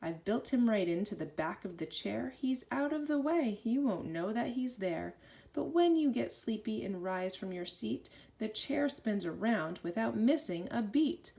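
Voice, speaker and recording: A woman reads a children's picture book aloud in an animated voice. Her pitch moves freely up and down as she follows the bouncy rhymes. The delivery is expressive and sing-song, at an ordinary female pitch. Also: gravelly. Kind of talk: rhyming children's read-aloud.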